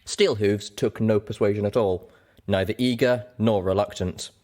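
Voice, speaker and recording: Low-pitched man reading a story. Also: fairly even intonation, moderate pace, darkish tone. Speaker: audiobook reader. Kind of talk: scripted first-person story narration.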